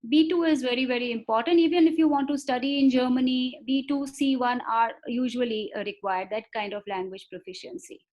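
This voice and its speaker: High-voiced woman giving a patient lecture. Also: a little mellow, muffled recording. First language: not Marathi. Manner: measured, repeats words for emphasis, frequent fillers. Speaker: webinar speaker on foreign languages. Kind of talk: explanatory lecture. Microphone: video call.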